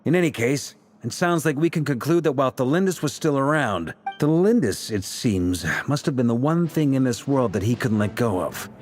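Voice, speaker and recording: A man's voice: medium pitch, lively intonation, moderate pace, hardly any pauses, darkish tone, faint music behind, close to an everyday voice. A man voicing a laid-back, wry and confident commander in a video game. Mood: musing. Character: easygoing seasoned male commander, wry and confident. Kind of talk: game dialogue acting.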